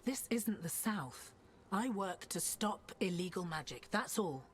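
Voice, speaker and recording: A woman's voice: mid-range voice, expressive, a little slow, slight background noise, close to an everyday voice. A woman voicing a dutiful, guarded templar officer in a video game, dry and clipped with wry exasperation. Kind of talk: video game cutscene acting.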